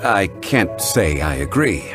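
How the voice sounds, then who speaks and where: An actor voicing a composed, courteous and learned gentleman in a video game, speaking in a measured, formal way. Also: deep voice, very animated, exaggerated voice. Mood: calmly disagreeing. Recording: background music.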